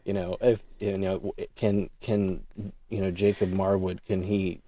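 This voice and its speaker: A man's voice: low voice, level tone, unhurried, warm tone, slight background noise. A middle-aged man speaking as a podcast guest, a creator talking casually about his writing in an interview. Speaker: podcast guest, audio drama creator and writer.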